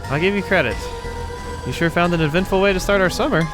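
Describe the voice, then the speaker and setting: A man's voice: high voice, fast talker, voice pushed up, close to an everyday voice. Luca, a man doing a wary, wry boy. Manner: wry, hesitant. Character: cautious nervous boy, dry wit. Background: game music.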